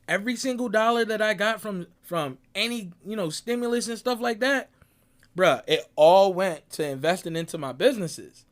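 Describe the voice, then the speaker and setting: A man's voice: high-pitched, fairly bright tone. A young man from Barbados giving an emphatic, preachy motivational talk, constantly checking in with "right?" and "bruh". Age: young adult. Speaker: motivational speaker. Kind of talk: motivational monologue. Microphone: audio clip recording.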